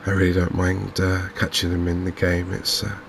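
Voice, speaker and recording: A man hosting a gaming video in a softly spoken ASMR commentary style, unhurried and hesitant, musing to himself. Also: sits low, monotone, gravelly, background noise.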